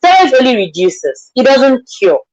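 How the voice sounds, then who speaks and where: A woman's voice: high-pitched, sing-song, normal speed, darkish tone. Nigerian woman explaining skincare, muffled audio. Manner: earnest, repeats herself, asks "do you understand". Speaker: makeup artist guest. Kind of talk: live online interview.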